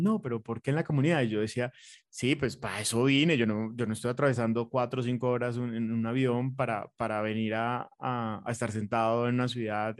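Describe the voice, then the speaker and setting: A man's voice: mid-range voice, a little mellow, no room sound. Earnest Colombian man, very dry sound. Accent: Colombian Spanish. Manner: earnest, reflective, with many fillers and restarts. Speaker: fashion designer, interviewee. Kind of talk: conversational interview.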